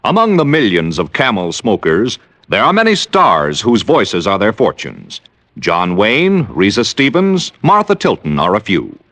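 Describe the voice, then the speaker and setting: Male vintage radio pitchman: emphatic, polished, animated, fairly deep, dry sound. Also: unhurried, no room sound. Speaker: radio announcer and cigarette pitchman. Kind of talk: 1950s radio commercial announcing.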